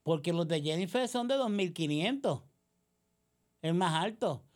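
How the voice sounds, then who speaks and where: A woman's voice: on the low side, animated, a little slow, darkish tone. A woman with a Puerto Rican accent delivering a combative political monologue, sarcastic and accusatory, full of rhetorical questions. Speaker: political commentator.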